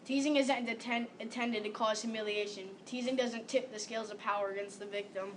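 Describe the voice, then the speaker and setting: A woman's voice: on the high side, steady tone, brisk. Female student in early teens, stage recitation, echoey and distant from the mic. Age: young teenager. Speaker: student performer. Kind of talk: readers theater recitation. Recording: echoey room, distant mic, background noise.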